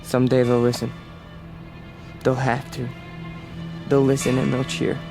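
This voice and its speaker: A man's voice: medium pitch, completely flat delivery, unhurried, gravelly, music in the background. An actor playing a bitter, proud young male street agitator in a radio drama, intense and brooding. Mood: frustrated, yearning. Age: young adult.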